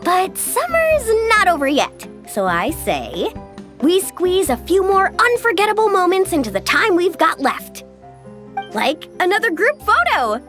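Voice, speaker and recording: A woman voicing an upbeat, caring young tour guide in a video game, chatty and animated. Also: very high voice, a little slow, full character voice. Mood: excited. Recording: background music.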